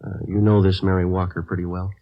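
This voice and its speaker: Low deadpan police sergeant. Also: male voice, quick pace. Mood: polite questioning. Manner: deadpan, matter-of-fact, polite. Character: terse, businesslike male police sergeant narrating his case. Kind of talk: 1950s radio drama narration, clipped deadpan. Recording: dull old radio recording, music behind.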